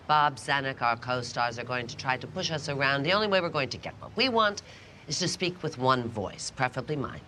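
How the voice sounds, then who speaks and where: A woman's voice: very deep voice, animated, a little fast, sharp tone, a little hiss or hum, exaggerated voice. A middle-aged woman playing an abrasive, sarcastic, domineering film actress in a period TV drama, hard-voiced, blunt and commanding. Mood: firm, demanding. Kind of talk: television period drama acting.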